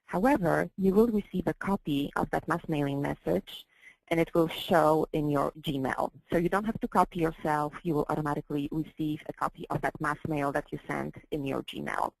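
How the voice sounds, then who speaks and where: A woman with a low voice and noticeable vocal fry leads a software training session. She explains slides step by step, carefully and a bit haltingly, repeating herself as she goes. The sound is dry and close. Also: dry recording, low-quality recording. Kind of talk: slide-based training walkthrough.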